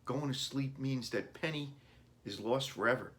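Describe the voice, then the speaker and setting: A man voicing an unhappy young boy, raised pitch. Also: moderate pace, a bit bright, close to an everyday voice. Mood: sad, resigned. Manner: plaintive, earnest. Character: unhappy, pleading ten-year-old boy. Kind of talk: children's storybook reading.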